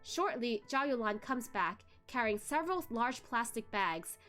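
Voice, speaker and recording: A woman's voice: fairly high pitch, expressive, unhurried, sharp tone, soft background music. A young adult woman hosting a read-along, narrating a novel. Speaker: book club host reading aloud.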